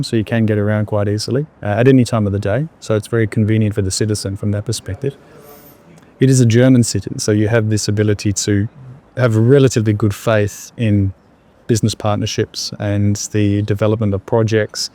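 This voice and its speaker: A man, answering interview questions in a reflective, unhurried way with pauses and fillers. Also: lowish voice, darkish tone. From Australia. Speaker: entrepreneur guest.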